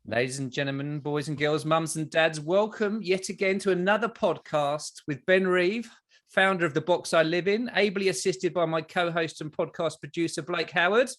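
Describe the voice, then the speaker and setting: A man's voice: on the high side, moderate pace, fairly bright tone. A man from Australia with an Australian accent, hosting a podcast in a rambling, enthusiastic way with many restarts. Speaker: podcast host. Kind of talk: casual interview chat.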